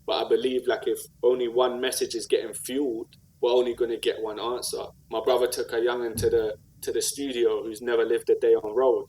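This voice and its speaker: Man, South London accent, mid-pitched voice, earnest, slangy, reflective interview storytelling. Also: level tone, fairly quick, hardly any pauses, darkish tone, low-quality recording. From South London, England. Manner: earnest, slangy, with repeated "like" and "you know what I mean". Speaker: podcast guest, rapper and community activist.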